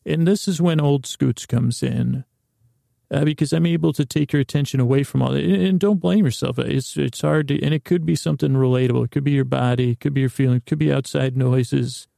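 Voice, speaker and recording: Male podcast host, very creaky and gravelly, dry close sound; lulling, meandering, halting bedtime monologue. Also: mid-range voice, steady tone, mellow, dry recording. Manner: meandering, halting, repeats and trails off into tangents; soothing, lulling. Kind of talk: rambling, improvised bedtime monologue.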